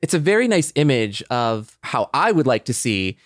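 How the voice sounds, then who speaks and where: Man, mid-pitched, dry close sound. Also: very animated, normal speed, dry recording. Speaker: podcast co-host. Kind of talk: casual reflective discussion.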